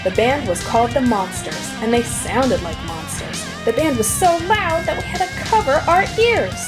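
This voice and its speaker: Female storybook reader, higher register, very animated swings, expressive emphasis, music underneath. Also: moderate pace. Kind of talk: animated read-aloud narration. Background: music with plucked guitar.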